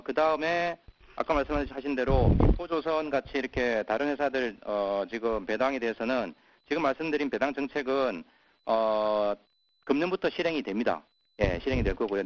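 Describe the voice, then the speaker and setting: A man presenting as a company executive on an earnings call, pausing often with filler sounds. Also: medium pitch. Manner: halting, many filler sounds. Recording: muffled conference call audio.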